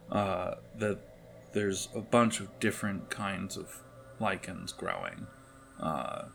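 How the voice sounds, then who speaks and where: A man improvising narration as game master of a tabletop horror game, halting as he searches for words. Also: fairly low pitch, creaky, pushed and bright, slight background noise.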